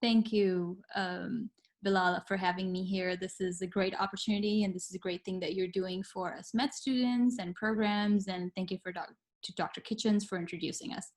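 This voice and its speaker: A woman's voice: medium pitch, steady tone, moderate pace. A young woman from Pakistan, a guest on an interview show, telling her story in an earnest, reflective way with some hesitant fillers. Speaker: guest, medical student.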